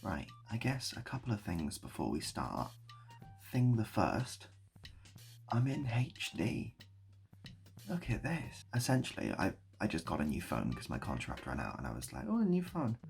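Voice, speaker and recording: A man talking casually to camera in a rambling, self-deprecating way with deadpan whimsy. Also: fairly low pitch, normal speed, creaky, faint music behind. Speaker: video creator and host. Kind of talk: casual improvised monologue to camera.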